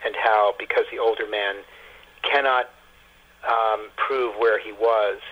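A man's voice: fairly low pitch, steady tone, a little slow. A man giving conversational interview answers as a guest over the telephone, often repeating words as he explains. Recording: muffled narrow phone line.

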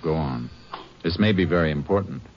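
An actor playing a calm, methodical male Texas Ranger who questions in a measured way in a 1950s western radio drama. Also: very deep voice, slight background noise. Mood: patient questioning. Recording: dull vintage 1950s radio recording with music.

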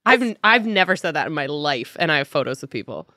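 A woman co-host chiming in with quick advice in casual chat. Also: sits low, sing-song, bright, forceful tone, dry recording, clean studio sound.

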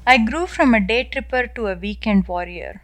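A woman in her thirties from South India with an Indian accent, reading her own scripted personal essay in a measured, earnest way. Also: fairly high pitch, lively intonation, no room sound, soft background music. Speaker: podcast essayist. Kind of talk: first-person scripted narration.

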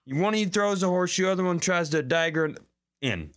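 A man's voice: sits high, fairly quick. A man co-hosting a comedy podcast in casual, profane, joking chat, speaking into a room microphone. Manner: profane, animated banter. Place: studio room.

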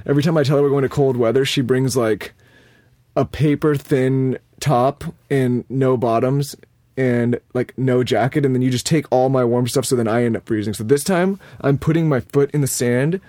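Man co-host, mid pitch, teasing banter, podcast chat. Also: normal speed, mellow, no echo. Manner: upbeat, teasing. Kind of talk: casual banter with his wife.

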